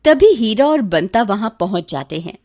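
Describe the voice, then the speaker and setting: A woman reading scripted story narration for a cartoon, with loud noisy ambience around her voice. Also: mid-range voice, sing-song, moderate pace, no echo, studio quality.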